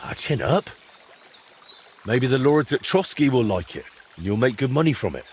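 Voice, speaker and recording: A man's voice: mid-range voice, sing-song, moderate pace, a little hiss or hum, natural voice. An actor playing a curious, plain-spoken young man in a medieval video game, frank and good-natured. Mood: encouraging. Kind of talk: dramatic dialogue in a cinematic historical game.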